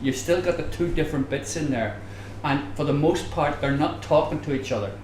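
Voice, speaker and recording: An older Northern Irish man speaks in a fairly high voice at a live panel. He explains conversationally, with anecdotes and digressions. The room is echoey, with faint background noise. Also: fairly quick, a little mellow. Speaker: panelist, academic. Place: bar venue, live audience discussion.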